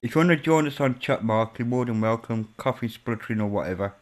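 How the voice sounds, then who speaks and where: A man's voice: mid-range voice, fast. A man with a Birmingham accent hosting a casual live stream chat, talking loosely and repeating words as he goes. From England. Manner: chatty, stumbles and repeats words. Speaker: stream host.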